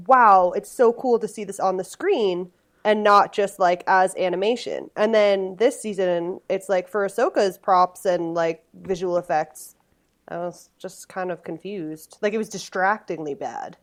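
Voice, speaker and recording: A woman's voice: mid-range voice, normal speed. A woman from Massachusetts, a podcast guest chatting casually with a self-deprecating, jokey manner. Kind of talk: casual chat.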